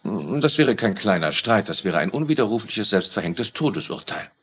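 Male detective, crime drama: low, raspy, dry and sceptical, lively intonation. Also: normal speed. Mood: dry, reasoning. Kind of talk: dubbed TV crime drama acting.

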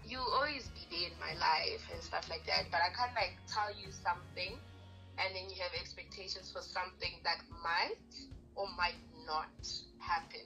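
A young woman in a casual phone conversation, heard through a phone speaker, hedging but gently firm. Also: lowish voice, normal speed, creaky, soft background music, low-quality recording. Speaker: caller on the other end of a phone call. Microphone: phone speaker picked up by camera.

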